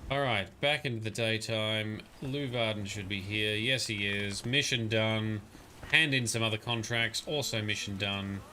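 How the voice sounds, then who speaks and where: Bright-voiced man chatting while gaming. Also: on the low side, unhurried, a little hiss or hum. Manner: relaxed, chatty and supportive, talking to chat while playing. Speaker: livestreamer. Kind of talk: casual gameplay commentary.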